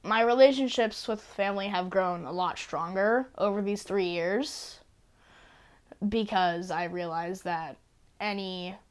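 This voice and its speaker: A woman speaking in an interview, slow and thoughtful. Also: mid-range voice, smooth. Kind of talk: reflective interview.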